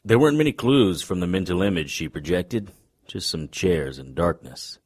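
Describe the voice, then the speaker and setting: An actor playing a wry, unflappable occult detective, narrating an audio drama in a dry noir style. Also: male voice, deep voice, lively intonation, moderate pace, husky. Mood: wry, tense.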